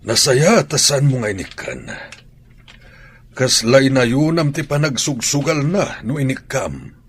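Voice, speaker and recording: A man's voice: mid-range voice, animated, unhurried, a little hiss or hum, natural voice. A Filipino man with an Ilocano accent playing a concerned, chiding older father and grandfather in a radio drama. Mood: stern, worried.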